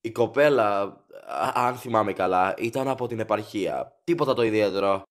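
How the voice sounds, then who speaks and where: A man's voice: lowish voice, natural voice. A man playing a courteous, chatty factory director in an audio drama, formal, rambling and eager to please. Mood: polite, explanatory.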